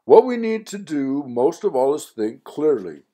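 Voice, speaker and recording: A man voicing a calm, logical father in an audiobook, measured and hesitant. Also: fairly high pitch, voice pushed up. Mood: placating.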